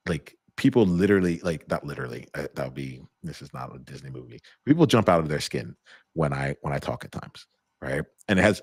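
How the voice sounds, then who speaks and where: A middle-aged man hosting a live conversation, talking loosely with a deep voice, many fillers and restarts. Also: dry recording, studio quality. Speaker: host.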